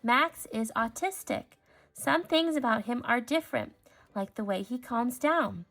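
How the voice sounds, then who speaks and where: A woman voicing a kind, patient female teacher in a storybook, explaining things gently. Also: fairly high pitch, animated, unhurried.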